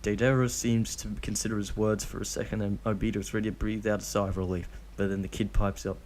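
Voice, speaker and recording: A man's voice: fairly low pitch, level tone, fast, a little mellow, a little hiss or hum. A young man casually reading a fanfic aloud, tripping over the odd name. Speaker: fanfic reader.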